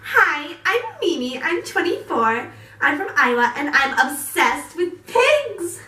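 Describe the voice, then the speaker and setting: A woman doing an eager young contestant fixated on pigs, voice high and sharp. She sounds distant in a roomy space. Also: very animated, takes it slowly, exaggerated voice.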